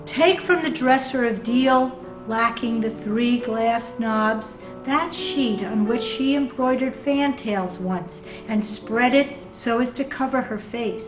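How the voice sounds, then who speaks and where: A woman English teacher reading and explaining literature informally, in a thoughtful way. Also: fairly high pitch. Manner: thoughtful, hesitant, pauses often to find words. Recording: echoey room with music behind.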